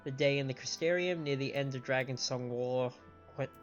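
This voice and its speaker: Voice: medium pitch, normal speed. A game streamer giving casual, analytical live commentary and explaining things in a conversational way. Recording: dull lo-fi mic over faint music. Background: faint game music.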